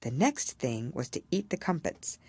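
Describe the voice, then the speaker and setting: A woman reading a children's story aloud as an expressive audiobook narrator. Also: a bass voice, normal speed, gravelly, breathy edge, no echo. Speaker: audiobook reader.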